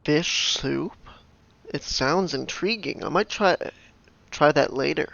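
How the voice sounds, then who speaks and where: A woman's voice: deep voice, takes it slowly, vocal fry, a bit bright, lo-fi sound. A woman casually commenting on a game she is playing, tossing in short offhand reactions.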